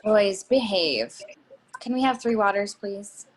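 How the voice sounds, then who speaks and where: A woman plays a middle-aged mother in a stage play reading. Her voice sits at an ordinary pitch, and she is polite, formal and inquisitive. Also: expressive, slow, a little hiss or hum. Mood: motherly. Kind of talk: readers theatre play reading.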